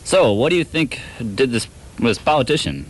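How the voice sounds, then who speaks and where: A man plays a cocky, slow-witted detective in a comic radio play. His pitch moves around a lot, over clearly audible background noise. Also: very animated, natural voice. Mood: earnest, dim. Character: dim, cocky hard-boiled private detective with boastful airs. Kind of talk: amateur comedy radio drama. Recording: noisy amateur radio drama recording.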